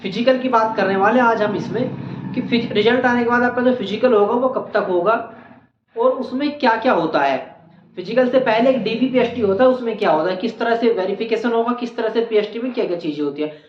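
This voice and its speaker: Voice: medium pitch, brisk. A channel host giving an explainer on exam procedure, repeating key points for emphasis. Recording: echoey room, dull lo-fi, faint noise.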